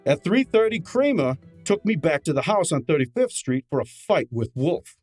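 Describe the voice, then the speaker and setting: A man's voice: a little high, big pitch swings, moderate pace, darkish tone, husky, soft background music. A man playing a brash, wisecracking detective's assistant and narrator in a snappy old-time radio drama style. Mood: wry narrating. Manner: snappy, hard-boiled, wisecracking.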